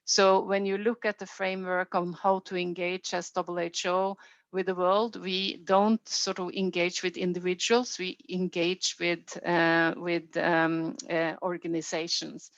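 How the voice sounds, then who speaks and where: A woman with a Norwegian accent gives unscripted formal remarks as an official at a meeting, choosing her phrasing deliberately and stressing key words. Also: lowish voice, flat delivery. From Norway. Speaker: health organisation official leading a consultation. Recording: roomy, dull band-limited meeting audio.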